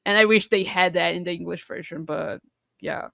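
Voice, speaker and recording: Woman podcaster, halting delivery, dry close sound. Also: lowish voice, fairly even intonation, creaky, sharp tone, no echo. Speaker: podcast co-host. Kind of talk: casual podcast discussion.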